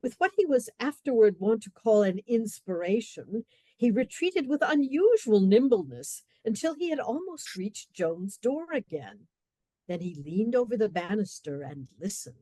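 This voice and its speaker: A woman narrates a comic radio play as a scripted story. Her voice is mellow and mid-pitched. The recording is dry, with no room echo. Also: sing-song, normal speed.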